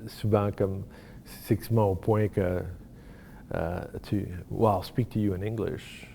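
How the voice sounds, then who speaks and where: Low-voiced man, halting. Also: steady tone, vocal fry, dark tone, slight background noise. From Manitoba. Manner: halting, self-mocking, mixes in English. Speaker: interviewee. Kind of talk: testimonial talk.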